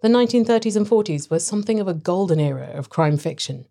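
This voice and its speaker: A woman's voice: medium pitch, lively intonation, quick pace, dark tone. A woman narrator reading a scripted introduction in a formal, announcing way.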